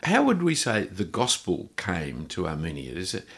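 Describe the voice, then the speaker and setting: Man, podcast host, low voice, conversational questioning. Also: wildly swooping pitch, normal speed, fairly bright tone, breathy edge. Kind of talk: podcast interview.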